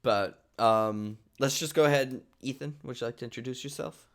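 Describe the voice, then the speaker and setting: A man with a clear, smooth voice hosts a casual livestream. He explains things informally to viewers and guides the group along at a mid pitch. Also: normal speed, a bit bright. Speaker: host of an online play table read. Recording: online group call livestream.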